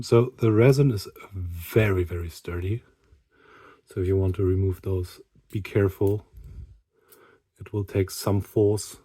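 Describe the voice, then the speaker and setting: A man giving an unscripted hobby product review, speaking haltingly with pauses in mid-sentence. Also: lowish voice, warm tone.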